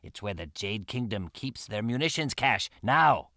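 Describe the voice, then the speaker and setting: An actor playing a roguish, sly pirate first mate in a video game, talking in a confiding, easygoing way. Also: male voice, fairly low pitch, lively intonation, measured pace, gravelly, sharp tone, dry recording, clean studio sound, heavily acted. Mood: mischievous. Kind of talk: video game cutscene acting.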